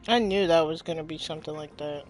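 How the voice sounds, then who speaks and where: A woman's voice: sits low, quick pace. A female gamer giving casual, informal commentary and muttered reactions while she plays. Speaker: video game streamer. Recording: faint game music behind.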